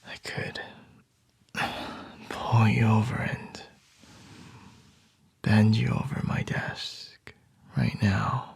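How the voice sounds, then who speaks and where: A man doing an ASMR roleplay as a boss, speaking in a slow, teasing, intimate whisper close to the microphone. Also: fairly low pitch, flat delivery, creaky, slightly rough, no echo.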